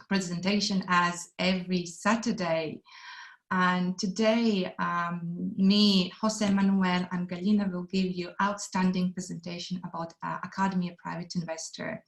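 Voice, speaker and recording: A woman from Latvia giving an earnest webinar presentation with non-native phrasing, recorded on a computer mic. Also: on the low side. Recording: echoey room.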